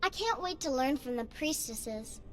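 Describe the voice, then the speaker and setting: A woman's voice: sits high, lively intonation, a little slow, over music. A woman playing an earnest, polite little girl with a reverent manner in a fantasy video game. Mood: honored, eager. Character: earnest, dutiful little village girl, chosen priestess.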